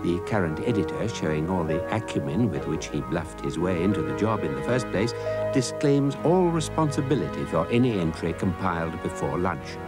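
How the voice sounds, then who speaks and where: A British man narrates in a fairly low voice with lively intonation. The delivery is measured and deadpan, a wry scripted documentary read over loud music.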